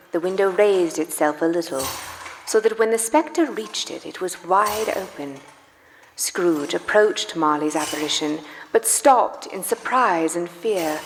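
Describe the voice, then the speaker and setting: A woman narrating a live radio play dramatically, with theatrical, emphatic and deliberate phrasing. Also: fairly low pitch, slight background noise. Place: live stage in a church. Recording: long church hall reverb.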